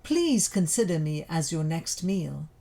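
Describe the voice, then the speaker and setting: Woman as a devoted storybook leopard, expressive pitch. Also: unhurried, creaky, exaggerated voice. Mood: earnest, offering. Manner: earnest, formal. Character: large, devoted leopard, a loyal friend.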